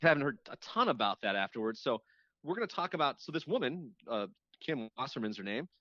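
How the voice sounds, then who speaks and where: A man with a mid-pitched voice talks casually as an interview guest, circling back to rephrase as he explains. The sound is dry and somewhat dull, like a muffled lo-fi connection. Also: very animated, fairly quick, bright, forceful tone, no echo. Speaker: radio show host appearing as guest.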